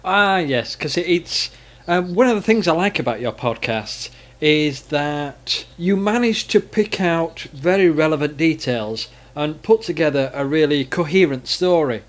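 A man hosting a podcast, interviewing a guest in measured, thoughtful phrases. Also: a little high, lively intonation. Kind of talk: casual interview and podcast narration.

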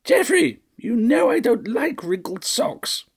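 A man voicing a shrill, fussy, wealthy old woman who nags imperiously in a grating voice. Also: very animated, slow, creaky, slightly rough, exaggerated voice. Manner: imperious, nagging.

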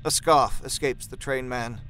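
A man's voice: mid-range voice, steady tone, a little slow, sharp tone, faint music behind. A man narrating a horror story from a script.

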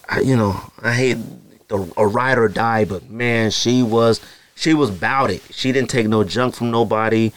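A man's voice: fairly low pitch, smooth. A married man hosting his own podcast alone, talking casually and rambling, with many fillers, his voice jumping up when he makes a point. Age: adult, married. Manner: rambling and conversational, many "you know" fillers, voice jumps up when making a point. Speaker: podcast host. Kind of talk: casual solo podcast talk.

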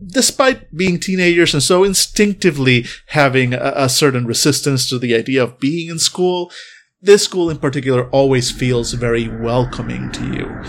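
A man narrating as game master of a tabletop game, rambling and laid-back, with lots of pauses and restarts. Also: medium pitch, vocal fry, slight background noise.

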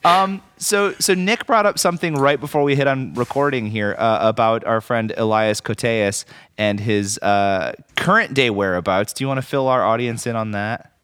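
An American man hosting a podcast, chatting casually and jokily about a movie in an animated way. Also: medium pitch, moderate pace, smooth, no room sound. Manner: jokey, animated. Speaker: podcast host. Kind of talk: casual comedic movie chat.

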